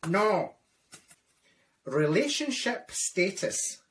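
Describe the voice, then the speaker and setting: A man's voice: high-pitched, sing-song, drawn-out words, fairly bright tone, a little hiss or hum, voice pushed up, exaggerated voice. A Scottish man voicing a chatty older widowed woman who rambles with dry asides, in a comic character monologue. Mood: gossipy.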